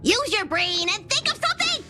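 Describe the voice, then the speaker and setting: A woman voicing a small, fussy, childlike creature who works as a train conductor in a video game, squeaky, bossy and excitable. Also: brisk. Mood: frantic, bossy. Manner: bossy, excitable, refers to self in third person. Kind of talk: video game character acting. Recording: loud music behind. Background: loud music.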